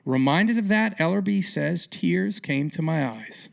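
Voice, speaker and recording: Gravelly, punchy male podcast host. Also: on the high side, expressive, measured pace. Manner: colloquial, theatrical, punchy emphatic phrasing. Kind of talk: casual, talky interview.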